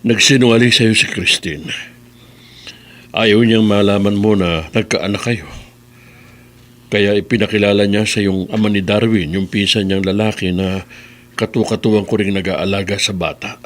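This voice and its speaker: An actor playing a solemn, caring elderly father figure explaining things gravely in a radio drama. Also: lowish voice, fairly even intonation, moderate pace, a bit bright, lightly acted. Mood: grave, concerned. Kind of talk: Tagalog radio drama acting.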